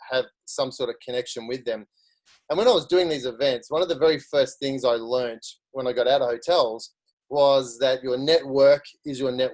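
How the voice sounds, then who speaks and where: Australian man, middle-aged, casual guest speaker, restarts a lot, average pitch. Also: poor audio. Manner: chatty, restarts often. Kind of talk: casual career story.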